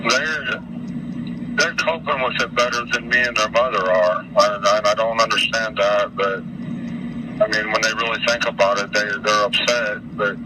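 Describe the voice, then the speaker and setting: Low, flat-toned American man, halting. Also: background noise. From Utah, United States. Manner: halting, repetitive, folksy. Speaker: interviewee. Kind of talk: informal interview answers.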